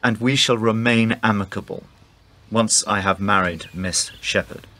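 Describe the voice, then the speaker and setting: A man playing a cold, controlling gentleman in a radio play, speaking formally and commandingly. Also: on the low side, steady tone, a little slow. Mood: cold, insistent.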